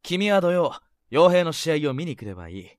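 Man acting a sly, animated teenage senior boy. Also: fairly high pitch, moderate pace, dry recording. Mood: confident. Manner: smooth-talking, playful, insinuating. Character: sly, teasing high school senior boy, confident schemer. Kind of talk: Japanese romantic-comedy voice drama acting.

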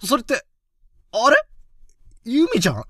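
An actor playing a hot-blooded, friendly high-school boy, rough-spoken and easily flustered, in a youth romantic-comedy voice drama. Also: unhurried, no room sound, clean studio sound, exaggerated voice. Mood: surprised, flustered. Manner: rough casual speech, excitable, blustering.

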